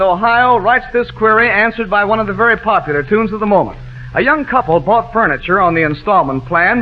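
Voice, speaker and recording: High-voiced man hosting a 1940s radio quiz. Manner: wisecracking, teasing, chatty. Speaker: quiz show host, master of ceremonies. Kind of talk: 1940s radio quiz show hosting with casual joking patter. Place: radio studio show with band and audience. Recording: muffled 1940s radio broadcast, music behind.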